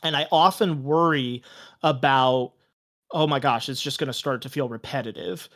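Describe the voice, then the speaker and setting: A man speaking casually as a podcast guest, earnest and reflective, with halting phrasing. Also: medium pitch, fairly bright tone. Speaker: podcast guest, tabletop game master and show creator. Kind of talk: casual conversational interview.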